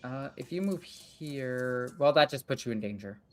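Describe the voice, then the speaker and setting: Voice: medium pitch, lively intonation, moderate pace. A young adult gaming streamer chatting casually and rambling while playing a strategy game, swearing now and then.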